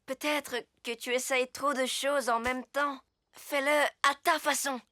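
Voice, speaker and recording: A performer voicing a gentle, slightly timid little boy rabbit in a preschool cartoon, with a hesitant, childlike delivery. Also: high voice, fairly even intonation, a little slow, no echo. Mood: gentle, encouraging. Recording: loud music behind the voice. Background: children's music.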